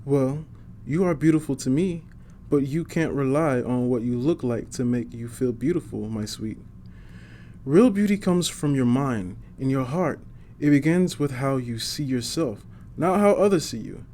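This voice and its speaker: A man voicing a gentle, loving mother who comforts and encourages her young daughter in a storybook. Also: mid-range voice, animated, moderate pace, slight background noise, pitched above the performer's own voice, natural voice. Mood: tender, encouraging. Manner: tender, encouraging.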